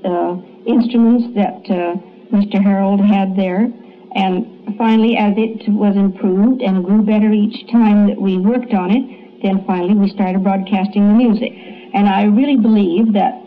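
A woman's voice: medium pitch, slight background noise. Tinny archive recording of an older woman. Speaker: early radio pioneer recalling memories. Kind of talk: spoken reminiscence.

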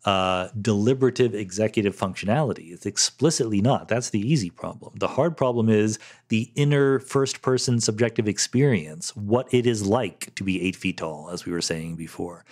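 Middle-aged guy with a lowish voice, chatty podcast host thinking out loud over listener questions. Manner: thoughtful, conversational explaining with fillers and restarts. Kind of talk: solo Q&A podcast, reading questions and answering.

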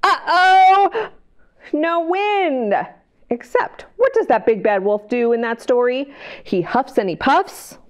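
Expressive woman reading to children, swooping pitch. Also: takes it slowly. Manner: expressive, exaggerated gasps and exclamations, questions to listeners. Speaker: children's librarian, storytime host. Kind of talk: read-aloud picture book storytime for young children. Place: indoor library children's room. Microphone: clip-on lapel microphone.

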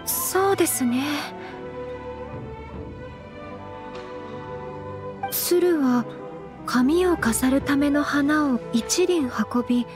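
A woman playing a gentle, earnest young noblewoman in an anime game, speaking in a very polite, measured, formal way. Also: high voice, over music. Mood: measured reading. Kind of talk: anime game character acting.

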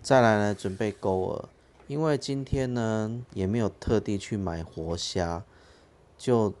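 Low gravelly man explaining fishing gear. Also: a little slow, darkish tone. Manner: plain explanatory, with fillers. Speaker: fishing channel host. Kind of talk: casual how-to narration. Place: outdoors at a fishing harbour by boats.

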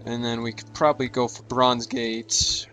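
A man casually commenting on a strategy game he is playing, joking and offhand, his voice slightly hoarse. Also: medium pitch, little pitch movement, moderate pace, pushed and bright, soft background music, low-quality recording. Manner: joking, offhand, talks to himself while playing. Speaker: gaming channel host. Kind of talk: casual let's play commentary.

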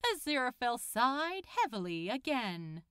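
A woman's voice: on the high side, big pitch swings, measured pace, pushed and bright, clean tone, no echo, clean studio sound. A woman narrating a scripted piece of fiction as a storyteller. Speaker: podfic narrator.

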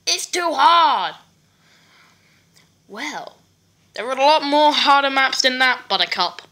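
Bright, lively woman, slangy gaming chat. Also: sits high, slow pace. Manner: slangy, brash, swears for effect. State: coughing, voice a bit off. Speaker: gaming video creator. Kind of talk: casual gaming commentary.

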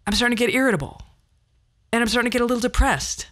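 A woman's voice: a little high, rapid-fire, fairly bright tone. A woman in her fifties hosting a podcast, telling personal stories in a candid, wry and self-deprecating conversational way. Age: 50s. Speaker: podcast host. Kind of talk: personal monologue storytelling, conversational.

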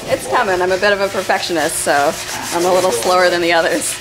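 A woman of thirty with a slightly low voice chats casually. She is a student talking to a camera in a workshop. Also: fast, rough recording. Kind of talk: casual chat. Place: workshop indoors.

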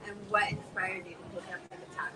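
A woman's voice: medium pitch, flat delivery, fast. A woman interviewing casually, with effusive, encouraging interjections between her questions. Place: outdoor terrace by a beach. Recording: outdoor recording with background noise.

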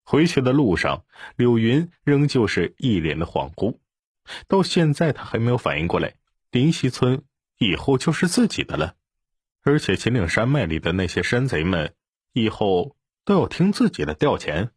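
A man's voice: mid-range voice, big pitch swings, normal speed, slightly rough, dry recording, clean studio sound. A man reading an audiobook as narrator in a scripted, dramatic storytelling style.

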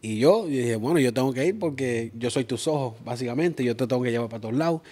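Thirties man, Puerto Rican accent, hesitant and soft-spoken, guest telling his life story. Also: mid-range voice, lively intonation, fast. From Springfield, Massachusetts, of Puerto Rican parents. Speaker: guest, music producer. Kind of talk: personal storytelling in interview. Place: purple-lit indoor studio set.

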